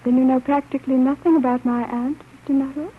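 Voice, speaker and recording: A woman acting as a self-possessed teenage girl in an old radio play. She is polite, grave and measured, with a rather high voice. The sound is thin and tinny, like a phone line. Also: steady tone, a little hiss or hum, lightly acted. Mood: solemn, hushed. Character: composed, solemn girl of about fifteen, storyteller. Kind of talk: 1930s radio drama acting.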